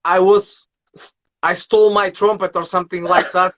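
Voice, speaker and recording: A man's voice: sits high, level tone, normal speed, a bit bright. A man with a Portuguese accent hosting an interview, speaking English as a second language in a friendly way. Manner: friendly, slightly non-native phrasing. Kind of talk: conversational interview.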